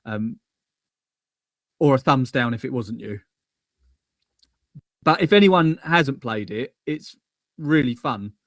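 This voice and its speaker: An English man co-hosting a casual live stream, chatty and quick to laugh. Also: mid-range voice, lively intonation, fairly bright tone, dry recording. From England. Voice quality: laughs often, loose and chatty. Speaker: co-host.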